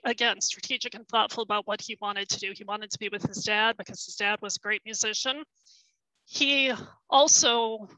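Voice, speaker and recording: Woman historian with a bright, dry voice. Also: fairly high pitch, dry recording, low-quality recording. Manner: explanatory, careful, with frequent ums and self-corrections. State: losing her voice. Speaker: historian presenting research. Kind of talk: webinar presentation.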